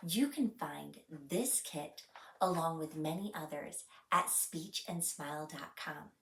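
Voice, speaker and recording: Woman doing kids story time, lowish voice, takes it real slow and expressive, bit far from mic. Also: creaky, echoey room, slight background noise. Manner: deliberate, expressive phrasing for young listeners. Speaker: children's story time host and reader. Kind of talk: read-aloud storytelling for children.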